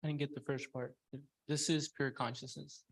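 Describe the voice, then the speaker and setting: A man's voice: fairly high pitch, little pitch movement, moderate pace, slightly rough. A man in a class who repeats the lecture's phrases and says when he missed one.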